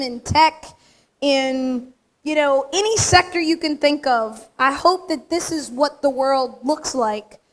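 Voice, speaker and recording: A woman from the United States with a slightly raspy voice, giving an emphatic, straight-talking acceptance speech in front of a room of people. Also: high-pitched. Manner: straight-talking, emphatic, punchy short phrases. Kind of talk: acceptance speech to a live audience. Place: large event room with audience.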